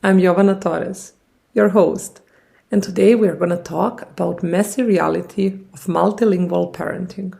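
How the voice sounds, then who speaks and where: A woman in her thirties, a native Serbian speaker, hosting a podcast in thoughtful, unhurried English as a second language. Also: lowish voice, steady tone, gravelly, warm tone, breathy edge. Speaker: podcast host. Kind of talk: casual solo podcast talk.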